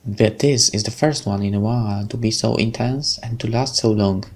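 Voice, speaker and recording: A man from Poland with a Polish accent, Polish as his first language, reading a visual novel aloud and chatting loosely as a let's play host. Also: lowish voice. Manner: unhurried, loose, slightly broken phrasing.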